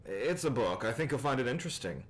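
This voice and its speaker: A man's voice: medium pitch, big pitch swings, fast talker, breathy edge. A man playing a flippant, breezy friend leaving a casual voicemail in an audio roleplay.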